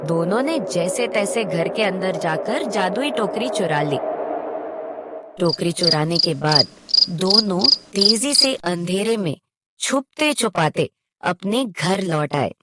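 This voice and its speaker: A woman's voice: fairly low pitch, lively intonation, slow pace, very noisy. A woman narrating a cartoon moral tale in a scripted storytelling style.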